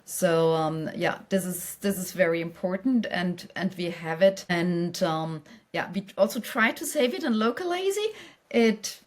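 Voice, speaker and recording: A woman's voice: lowish voice, lively intonation, unhurried. A woman who speaks English as a non-native language, answering conversationally as a company executive in a podcast interview. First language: not English.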